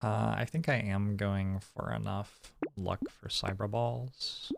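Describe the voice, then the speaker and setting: Low, very creaky man's voice, casual streamer. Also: little pitch movement, takes it slowly, no echo, studio quality. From New Zealand. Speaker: video game streamer. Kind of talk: casual live gameplay commentary, chatting with viewers.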